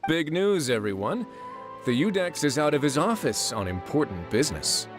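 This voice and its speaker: An actor playing a wry, self-assured fortress administrator in a video game, with a casual, teasing way of talking. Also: male voice, a little high, sing-song, moderate pace, over music. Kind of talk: video game character dialogue.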